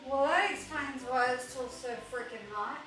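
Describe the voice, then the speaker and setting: A woman with a fairly high, bright voice chats and banters casually in a home vlog. She sounds slightly off the mic in a roomy indoor space. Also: normal speed, far from the mic. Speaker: co-host of a home unboxing vlog. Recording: roomy echo, off the mic, faint music.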